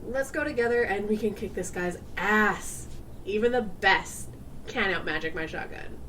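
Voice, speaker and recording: A woman playing a brash, loyal friend in an audio drama, blunt and expressive. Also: medium pitch, moderate pace, close to an everyday voice. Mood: feisty. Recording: distant mic, noisy background.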